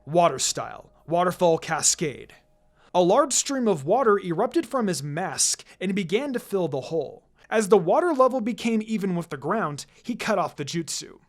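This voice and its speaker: A narrator reading fan fiction aloud in an expressive, scripted storytelling style. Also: mid-range voice, moderate pace, few pauses. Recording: background music under the voice. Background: music.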